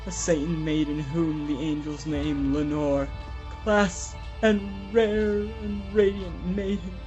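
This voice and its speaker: A man's voice: fairly high pitch, darkish tone. Man acting an anguished, grieving gothic poem hero. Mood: desperate. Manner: theatrical, declamatory, impassioned. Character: anguished grieving man raging and pleading at a raven. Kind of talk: dramatic poetry reading. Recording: slightly dull lo-fi sound, music behind.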